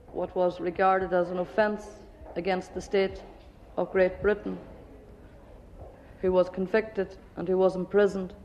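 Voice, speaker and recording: A woman explains something slowly and deliberately to a workshop audience. Her pitch stays flat. The sound is dull and rings with long hall reverb. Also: slight background noise. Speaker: speaker at a workshop. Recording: dull, echoey hall recording.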